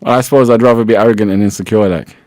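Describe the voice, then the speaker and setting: A man's voice: on the low side, fairly even intonation, very fast, a little mellow, dry recording. A man hosting a radio interview in a studio, easygoing and teasing, laughing often. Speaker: radio host. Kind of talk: casual interview.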